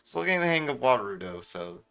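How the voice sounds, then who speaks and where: Man, medium pitch, bright forceful voice, casual game streamer, wisecracking, mock-indignant, faint music behind. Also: very animated, a little fast, creaky. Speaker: streamer playing a video game. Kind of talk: casual joking game commentary.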